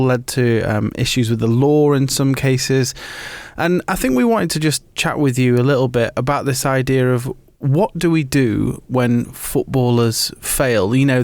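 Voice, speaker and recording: A man hosts a podcast with a noticeably creaky, gravelly edge to his voice. He talks informally and thoughtfully, working through his points in a conversational way. The sound is close and dry. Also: mid-range voice, no echo. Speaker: podcast host.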